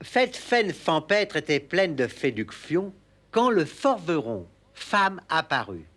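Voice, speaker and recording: Comedy sketch: pompous lisping poetry reader, high pitch, slow, solemn delivery. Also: male voice, big pitch swings, fairly bright tone, full character voice. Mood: dramatic storytelling. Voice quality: muddled sibilants, lisp-like impediment. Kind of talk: theatrical comic poetry recital.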